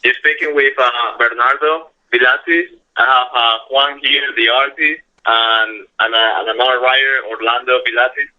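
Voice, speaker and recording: A man raised in Ecuador, native Spanish speaker with an Ecuadorian accent, speaking haltingly and politely as a call-in guest. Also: mid-range voice, fairly even intonation, muffled recording. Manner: halting, polite, restarts often.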